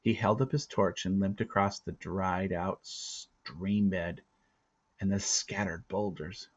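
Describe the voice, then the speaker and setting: Guy reading a book out loud, real slow and dramatic, deepish voice, kinda muffled recording. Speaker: storybook reader. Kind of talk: dramatic read-aloud narration with casual asides.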